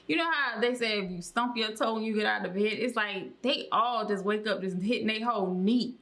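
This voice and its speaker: A woman's voice: mid-range voice, fairly quick, a bit bright. An American woman co-hosting a podcast, talking casually in a slangy, conversational way. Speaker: podcast co-host.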